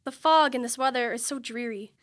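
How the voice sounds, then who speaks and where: A woman's voice: fairly high pitch, fairly quick, fairly bright tone, no echo, studio quality, natural voice. A woman acting an outspoken, principled young literary woman in a period radio drama, speaking formally and earnestly. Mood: polite, pointed.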